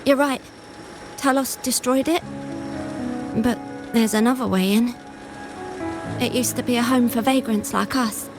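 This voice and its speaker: A woman's voice: on the high side, fairly even intonation, unhurried, long pauses. An actress voicing a timid, desperate young vagrant girl in a video game, with a hesitant, earnest manner. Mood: bitter, hurt. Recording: studio voice under game music.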